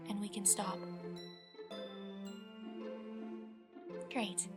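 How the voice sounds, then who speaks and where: A woman playing a gentle, shy, bookish teenage girl, soft-spoken and hesitant, in a visual novel fan dub. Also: medium pitch, level tone, normal speed, natural voice. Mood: gentle, concerned. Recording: loud music behind the voice.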